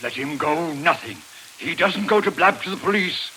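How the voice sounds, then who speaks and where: Casting: cynical, blunt gangster, high-voiced and tense, old radio drama with faint hiss. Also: male voice, animated, moderate pace, bright, forceful tone, rough recording. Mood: urgent, dismissive. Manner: blunt, colloquial. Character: hard, cynical criminal gang member. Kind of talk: 1940s radio acting. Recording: hissy 1940s radio, rain throughout.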